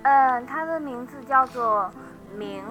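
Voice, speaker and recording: A woman's voice: high voice, slow, fairly bright tone, faint music behind, poor audio. A woman on livestream staff announcing things casually, in a polite, explanatory way.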